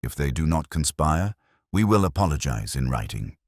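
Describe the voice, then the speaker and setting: A man voicing a gentle, unhurried, dryly ironic police inspector in a mystery story. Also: very deep voice, very animated, no echo, clean studio sound, full character voice. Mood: courteous, firm. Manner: gentle, measured, merely courteous.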